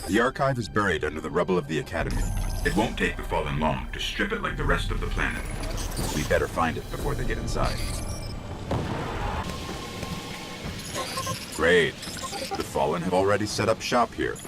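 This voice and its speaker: A small robot companion from a video game, curious and brisk. His low voice has a gravelly edge and moves around with lively inflection. Loud music plays behind him. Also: heavily acted. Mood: curious, awed. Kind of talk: video game character dialogue.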